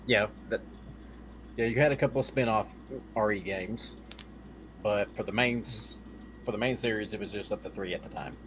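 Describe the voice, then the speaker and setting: A man's voice: lowish voice, normal speed, long pauses, background noise. A man talking casually as a podcast co-host, with a blunt way of putting things. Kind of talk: casual chat about movies.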